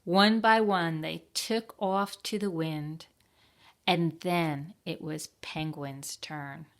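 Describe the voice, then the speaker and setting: A woman reading a picture book aloud to young children at a library storytime, expressively, pausing to ask them questions. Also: sits low, fairly even intonation.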